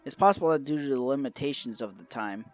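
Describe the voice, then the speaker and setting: A man presenting a collection review in a measured, semi-scripted narration, moving through it item by item. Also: medium pitch, expressive, a little mellow. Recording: dull, lo-fi audio with music behind.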